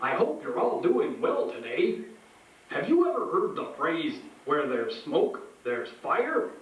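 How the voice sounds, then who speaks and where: Man doing a high, animated puppet teacher. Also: slow, faint music behind, full character voice. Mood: cheerful, instructive. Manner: expressive, instructive, addressing children directly. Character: friendly animated puppet teacher explaining safety to children. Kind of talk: scripted children's puppet show. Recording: echoey room, distant, faint noise.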